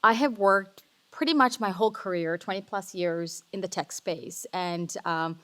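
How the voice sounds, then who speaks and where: A woman's voice: on the low side, measured pace. Chatty woman in her forties. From Sri Lanka. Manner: lively, chatty, informal. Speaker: panelist, tech company executive. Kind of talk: casual panel talk.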